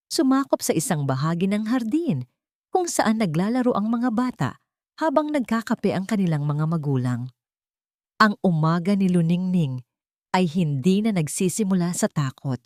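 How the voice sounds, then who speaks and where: A woman's voice: fairly low pitch, darkish tone, dry recording, studio quality. A woman with a Filipino accent reading a sentimental story as scripted narration.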